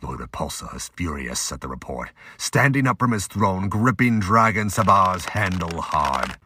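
A man's voice: deep voice, big pitch swings, normal speed, bright, forceful tone, slight background noise. A man delivering scripted, emphatic narration for an action audio drama.

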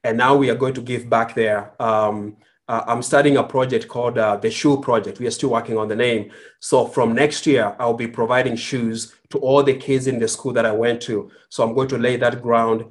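Kenyan man, earnest guest storyteller, middle pitch, halting delivery. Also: fairly even intonation. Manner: earnest, with frequent fillers and restarts. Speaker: guest entrepreneur. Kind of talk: conversational storytelling.

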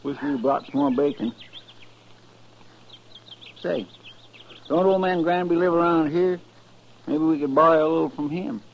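Young western sidekick, American rustic drawl, folksy, grumbling, radio drama, muffled old recording, faint noise. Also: male voice, on the high side, measured pace, pauses often. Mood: curious, chatty. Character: folksy young male frontier sidekick, complaining, good-natured. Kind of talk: 1950s radio western acting.

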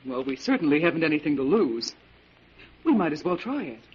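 An actor playing a tense, resigned prisoner awaiting death, in dramatic 1940s radio style. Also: male voice, a little high, big pitch swings, brisk, husky. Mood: tense, bitter. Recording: muffled, hissy 1940s radio recording.

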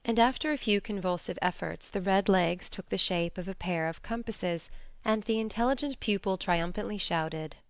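A woman's voice: mid-range voice, normal speed, dry recording. A woman reading scripted storybook narration as an audiobook narrator.

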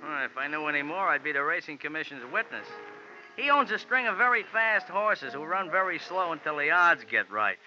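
A man playing an excitable, slangy little racetrack horseman in 1940s radio drama, earnest and colorful in his talk. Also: a little high, a little fast, full character voice. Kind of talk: 1940s radio character acting. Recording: dull vintage radio recording, faint music.

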